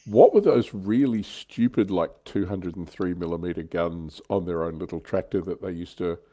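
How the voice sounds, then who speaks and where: A man's voice: low voice, moderate pace, dark tone. A man hosting a livestream in casual hobby chat, with a wry, offhand manner. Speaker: livestream host.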